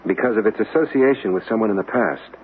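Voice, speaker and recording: A man's voice: on the low side, fast talker, close to an everyday voice. An actor playing an intent, inquisitive man in a radio drama, earnest, insistent and dramatic in delivery. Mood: polite, curious. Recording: tinny, noisy vintage radio broadcast.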